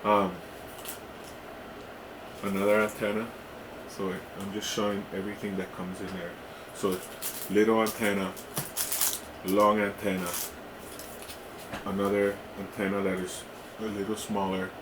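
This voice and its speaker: Male product reviewer, fairly low voice, informal and halting unboxing delivery, recorded with clear background noise. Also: level tone, reverberant. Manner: halting, often checking in with "right?". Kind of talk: casual hands-on unboxing demonstration.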